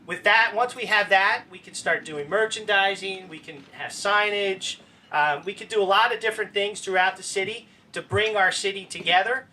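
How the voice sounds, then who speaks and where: Mayor on a panel: high-pitched, bright man, halting delivery, recorded from afar with faint background noise. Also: recorded from a distance. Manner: halting, with frequent restarts. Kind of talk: panel answer, casual speech.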